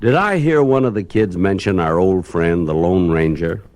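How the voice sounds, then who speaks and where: A man's voice: deep voice, moderate pace, noisy background. A man giving scripted, nostalgic narration in a wry, conversational way, as on a radio retrospective record.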